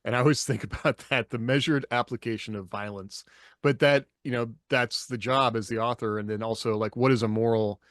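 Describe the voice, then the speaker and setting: A man's voice: medium pitch, fairly even intonation, brisk, a bit bright, no echo, clean studio sound. A man co-hosting a podcast, speaking in casual, reflective discussion. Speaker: podcast co-host.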